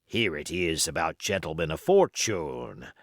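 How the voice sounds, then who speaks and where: Man performing a wily pirate cook, fifties, low pitch, swooping intonation, salty, boastful, storybook villain. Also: big pitch swings, measured pace, no echo, clean studio sound, exaggerated voice. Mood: boastful, confiding. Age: 50s. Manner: salty, rambling, folksy boasting. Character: wily fifty-year-old one-legged pirate sea cook, boastful and flattering.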